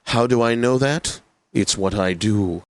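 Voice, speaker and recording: An actor playing an effete, snobbish classical radio DJ in a video game, with flowery, theatrically drawn-out enunciation and a pompous manner. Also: male voice, on the low side, no room sound, lightly acted. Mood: snobbish, pedantic.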